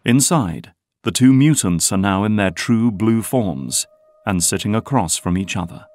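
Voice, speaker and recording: A man's voice: lowish voice, expressive, unhurried, a little mellow, no room sound, studio quality. A man reading scripted scene narration as the narrator of an audio drama. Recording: music under narration.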